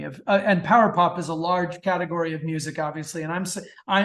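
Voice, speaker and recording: A man's voice: high-pitched, fairly bright tone. A middle-aged man chatting casually as an interview guest, enthusiastic and prone to digressions. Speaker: guest author.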